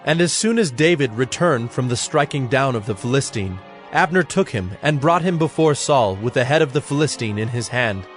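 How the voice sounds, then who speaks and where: A man narrating a dramatized scripture story from a script, in a measured, solemn storytelling manner. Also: medium pitch, lively intonation. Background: background music.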